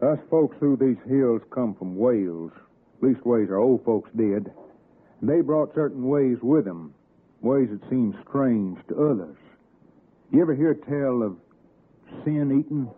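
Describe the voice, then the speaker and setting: A superstitious Appalachian hill man, husky and middle-pitched, grave and full of quiet menace. His folksy talk comes through the narrow, tinny sound of an old radio suspense show. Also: animated, unhurried. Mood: grave, ominous. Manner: folksy, ominous. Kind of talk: 1960s radio suspense acting. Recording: narrow, lo-fi vintage radio recording.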